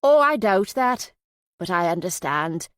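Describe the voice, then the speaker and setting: A woman's voice: fairly low pitch, big pitch swings, unhurried, clean tone, dry recording, clean studio sound. A woman voicing a cheery, affectionate Yorkshire woman in an audiobook, effusive and full of endearments. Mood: teasing, reassuring. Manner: chirpy, effusive, uses endearments.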